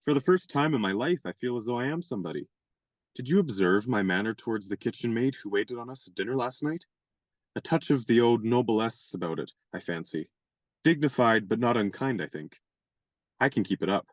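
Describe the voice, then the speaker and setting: A chivalrous young gentleman adventurer in a radio play, acted by a man, genial and fond of his own turns of phrase. He talks in courtly, elaborate sentences with a touch of mock pomp. The voice is dry and close, with little room sound. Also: mid-range voice, expressive, a little fast, dry recording, close to an everyday voice.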